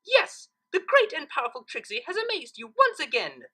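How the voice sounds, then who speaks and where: A woman's voice: high-pitched, huge pitch swings, normal speed, sharp tone, voice pushed up, heavily acted. A woman voicing a vain female unicorn magician who brags in a grandiose, self-important way for a story reading. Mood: gloating. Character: boastful, vain female unicorn magician, third-person braggart.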